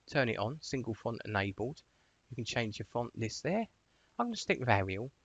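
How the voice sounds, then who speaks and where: A man's voice: mid-range voice, sing-song, brisk, husky, no room sound. Man giving a tutorial, dull dry recording. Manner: informal, chatty instructional talk. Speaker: software tutorial presenter. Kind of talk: informal step-by-step screen tutorial narration.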